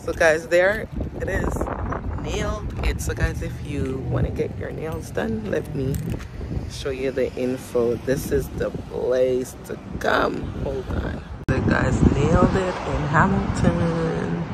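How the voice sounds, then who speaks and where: A woman's voice: low voice, takes it slowly, very noisy. A Jamaican woman chatting casually to her viewers in a vlog, rambling and calling them "guys". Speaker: lifestyle vlogger. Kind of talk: casual vlog talk to camera. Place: in a car, then a nail salon.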